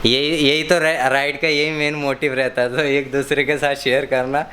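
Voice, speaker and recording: A man's voice: mid-range voice, little pitch movement, moderate pace, bright, forceful tone. A man chatting casually as a motorcycle vlogger, mixing in English words. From India. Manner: informal Hindi mixed with English words. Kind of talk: casual vlog chat. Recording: some background noise.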